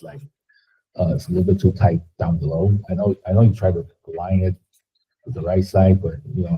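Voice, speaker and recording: Man, instructor, casual feedback, hedging, very low voice, tinny phone-like audio. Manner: casual, hedging, filler-heavy. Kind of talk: critique of student work.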